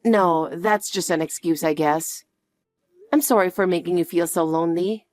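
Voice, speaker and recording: A woman playing a calm, protective mother who speaks softly and reassuringly to her daughter. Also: on the low side, lightly acted. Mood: soothing. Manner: soft, slow, reassuring. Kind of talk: dramatic dialogue.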